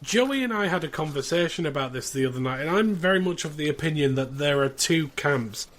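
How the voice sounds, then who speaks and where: A man's voice: fairly high pitch, animated, fairly quick, fairly bright tone. A man in his thirties chatting casually and recapping as a podcast co-host.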